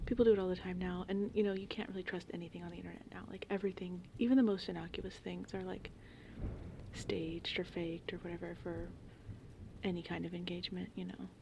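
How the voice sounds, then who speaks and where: A woman in her thirties whispers softly and breathily in a casual, meandering ASMR ramble, chatting informally. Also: lowish voice, steady tone, fast talker, creaky, noisy background. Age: 30s. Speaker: ASMR host, horror film fan. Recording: rain and thunderstorm ambience behind. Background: gentle rain and thunderstorms.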